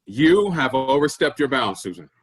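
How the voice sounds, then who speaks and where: An actor in a staged play reading, playing a pompous, self-centered, aggrieved male boss with a husky voice. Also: mid-range voice, big pitch swings, moderate pace. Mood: pompous, affronted.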